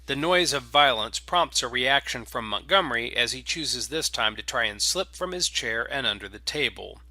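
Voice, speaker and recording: Bright, forceful male story narrator. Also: medium pitch, expressive, moderate pace, dry recording. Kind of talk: dramatic scripted narration of space opera fiction.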